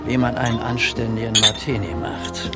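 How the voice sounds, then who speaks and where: An actor playing a crusty, tipsy old film director, grumbling yet courtly, in a radio play. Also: male voice, medium pitch, fairly even intonation, normal speed, close to an everyday voice. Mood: crusty, genial. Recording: radio drama under loud music.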